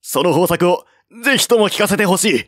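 A man acts out an earnest county magistrate from an old Chinese epic. The male voice is lifted higher and presses on urgently, yet stays stately and formal. It is a dry storybook recording. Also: lively intonation, fairly quick, no room sound. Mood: troubled, urgent.

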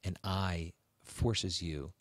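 Deep-voiced man hosting a podcast, dry sound. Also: steady tone, very slow, no echo. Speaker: podcast host. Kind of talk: conversational advice talk with a co-host.

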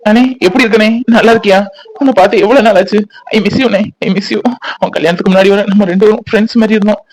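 An actor playing an affectionate younger brother who talks earnestly and reassuringly in a family drama. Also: male voice, sits high, steady tone, fast, close to an everyday voice, low-quality recording. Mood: fond. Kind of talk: web serial drama acting.

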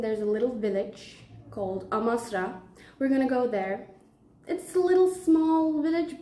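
High-voiced young Turkish woman vlogging. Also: warm tone, roomy sound, a little hiss or hum. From Turkey. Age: young adult. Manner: simple, halting non-native English phrasing. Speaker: student vlogger. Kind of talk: casual vlog talk to camera.

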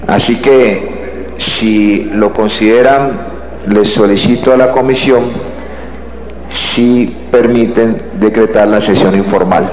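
A man with a Colombian accent chairing a parliamentary committee, giving brisk, formal procedural announcements. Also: fairly low pitch, fairly even intonation, darkish tone. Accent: Colombian Spanish. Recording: roomy chamber.

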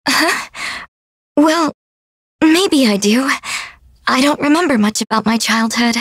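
A woman's voice: high-pitched, animated, takes it slowly, a bit bright, slightly rough, dry recording, clean studio sound, heavily acted. A woman voicing a sweet, innocent, bubbly young fairy girl in an anime game. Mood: wistful, sweet. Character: girlish, cheerful, sweet fairy little sister.

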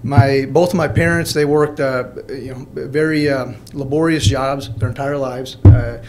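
A man in his thirties from Mexico and Illinois, telling his personal story at a podium in a halting, plain-spoken, informal way. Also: mid-range voice, steady tone, darkish tone, a little hiss or hum, low-quality recording. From born in Mexico, grew up in Peoria, Illinois. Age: 30s. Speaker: college alumnus guest speaker. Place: indoor college meeting room. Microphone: podium gooseneck microphone.

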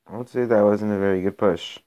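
Raspy-voiced young man narrating mobile gameplay. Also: on the low side, steady tone, a little fast, warm tone. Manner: rambling, self-interrupting, gets exasperated during play. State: raspy from being sick. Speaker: gaming channel host. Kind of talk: casual gameplay commentary.

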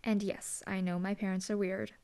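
A woman's voice: mid-range voice, flat delivery, normal speed, dark tone, smooth, natural voice. A young woman voicing a dry, teasing female hellhound demon bodyguard in an audio roleplay. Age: young adult. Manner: dry, teasing, laid-back.

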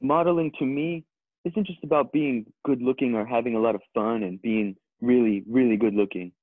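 A man's voice: mid-range voice, normal speed, dry recording, close to an everyday voice. A man playing a vain, dim-witted but sincere male model in a comedy script read over video call. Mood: earnest, self-important. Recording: thin, phone-like video call audio.

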